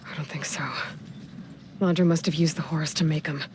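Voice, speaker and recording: A woman's voice: deep voice, steady tone, a little fast, noisy background, natural voice. An actress playing a determined, level-headed young woman warrior in a video game cutscene. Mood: focused, resolute.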